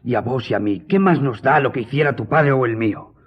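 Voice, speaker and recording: An actor playing a loyal, reassuring gentleman editor in a radio drama, speaking earnestly and formally with a husky, dark-toned voice. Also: medium pitch, a little fast, muffled recording, close to an everyday voice. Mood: firm, reassuring. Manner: earnest, consoling, formal address.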